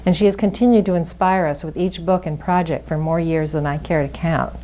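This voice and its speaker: A woman moderator with a soft voice giving a formal, measured introduction in literary phrasing. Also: sits low, fairly even intonation, low-quality recording.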